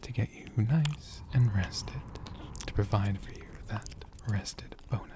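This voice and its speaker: A performer voicing a gentle, affectionate dragon healer in a soft, breathy ASMR whisper, slow and soothing with tender, lingering pauses. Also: medium pitch, natural voice. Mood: gentle, reassuring. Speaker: ASMR creator.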